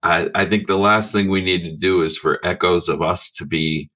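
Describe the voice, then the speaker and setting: Deep, bright-voiced male gamer. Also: steady tone, normal speed. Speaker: tabletop game player. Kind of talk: improvised in-character conversation.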